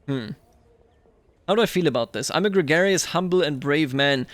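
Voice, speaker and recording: Higher-pitched man narrating a game. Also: fairly bright tone, no echo. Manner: thinks aloud, musing and deliberate. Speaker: host of a strategy game roleplay series. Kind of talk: game commentary with in-character roleplay and reading aloud.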